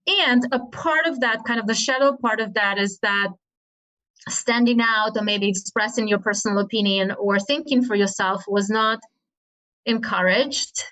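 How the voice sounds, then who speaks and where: Russian-accented woman guest, slightly high voice, reflective and unhurried. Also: low-quality recording. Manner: thoughtful, with frequent restarts and soft laughs. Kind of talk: casual spiritual conversation.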